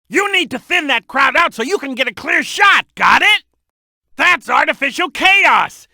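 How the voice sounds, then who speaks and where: A woman voicing an excitable, cheeky little boy animal sidekick in a video game, with a childlike voice and eager, emphatic delivery. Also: high voice, no echo, studio quality, full character voice. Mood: cheeky, alert. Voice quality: youthful, childlike. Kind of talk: video game character hint lines.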